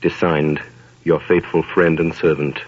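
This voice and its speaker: A man's voice: rumbling low, level tone, normal speed, husky. A man hosting and narrating a radio play with grand, theatrical storytelling. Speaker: narrator and host. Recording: lo-fi 1930s radio broadcast.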